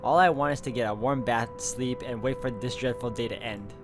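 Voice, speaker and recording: A man's voice: mid-range voice, a little fast. Man reading game narration over guitar music. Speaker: video game player and narrator. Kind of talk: reading visual novel narration aloud.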